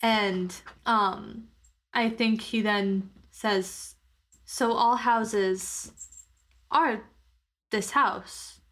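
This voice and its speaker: A woman's voice: mid-range voice, fairly even intonation, drawn-out words, pauses often, a bit bright. A woman playing a tabletop roleplaying game, casually describing her character's actions in a thoughtful, hesitant way. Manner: thoughtful, hesitant, searching for words.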